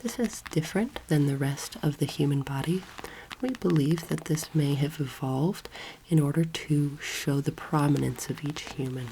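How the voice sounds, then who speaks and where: A young woman speaking softly and breathily, often near a whisper, in a slow, gentle ASMR roleplay lecture as a teacher. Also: low-pitched, a little hiss or hum, poor audio. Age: young adult. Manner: slow, gentle, deliberate instruction.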